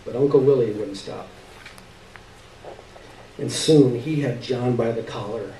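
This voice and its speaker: Male literary reader, slightly high mellow voice, dramatic pauses, recorded at a distance on a roomy stage. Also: slight background noise. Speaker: story reader. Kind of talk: expressive live literary reading. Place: live stage reading indoors.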